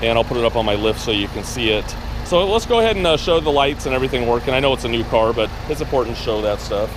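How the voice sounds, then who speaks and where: A middle-aged man, a little raspy from allergies, walking around a car outdoors and pointing out details in a rambling, filler-heavy way. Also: mid-range voice, expressive, fast talker. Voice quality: rambling, filler-heavy delivery with many restarts. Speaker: car dealer showing a car for sale. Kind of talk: casual walkaround video. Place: outdoors on a gravel driveway. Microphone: camera held while walking. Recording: outdoor recording with clear background noise.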